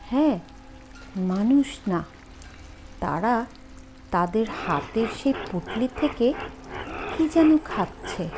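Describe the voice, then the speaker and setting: A woman narrating a horror story in a suspenseful, drawn-out way with dramatic pauses. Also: on the high side, darkish tone, slight background noise.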